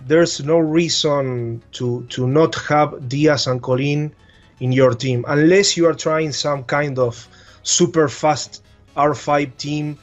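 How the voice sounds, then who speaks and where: A man's voice: fairly high pitch, fairly even intonation, slow, lo-fi sound, faint music behind. A man from Argentina with an Argentine Spanish accent, a native Spanish speaker, chatting hesitantly in English as a guest giving game advice. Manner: hesitant, repeats words while searching in English. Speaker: guest content creator.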